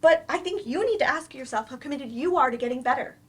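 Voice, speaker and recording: A woman's voice: high voice, fast talker, a bit bright. A woman acting in a staged play reading as a frank, exasperated therapist, pointed and confrontational with her patient. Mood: firm, frustrated.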